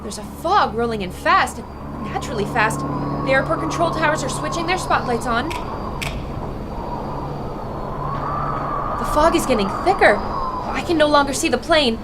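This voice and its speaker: A woman's voice: sits high, animated, a little fast. A woman playing an intrepid, determined 1920s radio reporter in an old-time audio drama, with a proclaiming, plucky delivery. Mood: alarmed, urgent. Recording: noisy ambience behind the voice.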